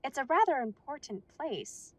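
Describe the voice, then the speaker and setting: A polite, businesslike werewolf receptionist, voiced by a woman in an audio drama, with a bright, high voice. She sounds close and dry, with no room echo. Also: big pitch swings, moderate pace. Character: polite female werewolf council receptionist, brisk.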